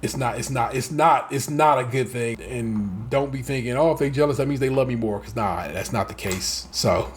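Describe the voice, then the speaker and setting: A man's voice: medium pitch, moderate pace, hardly any pauses, a little hiss or hum. Profane laid-back podcast host, man. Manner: loose, profane, laughing, talks in restarts. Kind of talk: casual chat.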